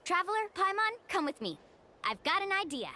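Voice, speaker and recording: A woman's voice: extremely high pitch, very animated, brisk, background noise. A woman playing a spirited, friendly young guide in a video game, speaking in an upbeat, confident way.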